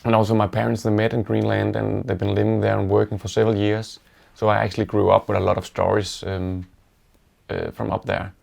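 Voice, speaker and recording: A Danish-accented man speaks in a low, gravelly voice with a heavy fry on his words. His delivery is level and unvaried, halting and reflective, like someone recalling stories in a relaxed interview. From Denmark. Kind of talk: casual reflective storytelling.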